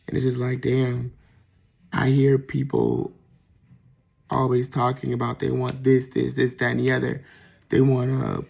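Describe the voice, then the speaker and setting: A man with a soft voice, talking reflectively in a casual personal monologue with frequent pauses and restarts. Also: mid-range voice, completely flat delivery, vocal fry, breathy edge. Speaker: vlogger.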